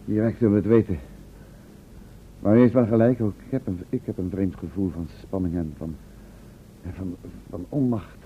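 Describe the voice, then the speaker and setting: A man's voice: low voice, normal speed, long pauses, lightly acted. Man playing an uneasy assistant, thin radio sound. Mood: nervous, waiting. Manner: hesitant, questioning, polite. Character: deferential, uneasy male assistant to a professor. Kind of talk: radio play acting. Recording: old, muffled thin radio recording with noise.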